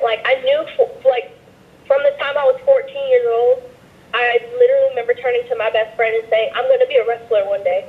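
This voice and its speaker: A woman in her twenties from Georgia in the American South, chatting as an interview guest, often saying "like" and sometimes getting emotional. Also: on the high side. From Georgia, USA. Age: 20s. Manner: chatty, heavy on "like", emotional at times. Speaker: interview guest, pro wrestler. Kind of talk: casual phone interview. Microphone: phone. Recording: tinny phone line.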